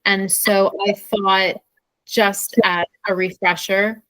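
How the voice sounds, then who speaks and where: A woman's voice: mid-range voice, level tone, slow pace, bright, forceful tone, no echo, poor audio. An American woman co-hosting an informal English lesson in a conversational teaching style. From Pennsylvania, USA.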